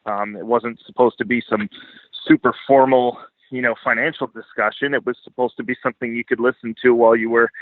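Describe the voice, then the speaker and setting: A man's voice: medium pitch, no room sound. An American man from Philadelphia chatting casually as an interview guest, blunt, profane and self-deprecating. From Philadelphia, United States. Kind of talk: casual unscripted interview chat. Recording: thin phone-like line.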